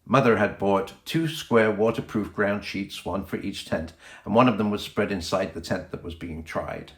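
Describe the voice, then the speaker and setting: Low-voiced man narrating in an echoey room. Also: moderate pace. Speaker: audiobook narrator. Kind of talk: scripted storybook narration.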